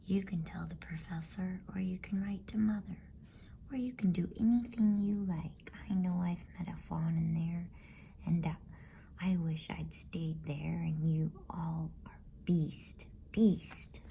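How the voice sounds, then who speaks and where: A woman's voice: fairly low pitch, steady tone, unhurried, vocal fry, warm tone, a little hiss or hum. A woman voicing a stubborn, wounded little girl from a storybook, in a hurt, insistent whisper. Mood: hurt, defiant.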